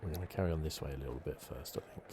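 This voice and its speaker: A soft-spoken man giving relaxed, mildly chatty ASMR commentary as he plays a video game. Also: very deep voice, rapid-fire, background noise. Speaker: gaming channel host.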